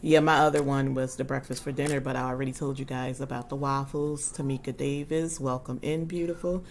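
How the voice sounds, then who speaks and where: Husky, low-pitched woman, 40s, casual livestream host, chatty and friendly with viewers. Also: steady tone, fairly quick, slight background noise. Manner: chatty and warm toward her viewers, frequent ums.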